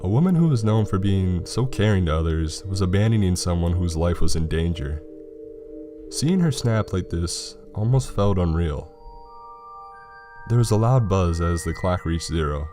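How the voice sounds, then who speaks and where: A man's voice: low voice, expressive, moderate pace. A man reading a scripted horror story as its narrator. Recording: music behind the voice. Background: background music.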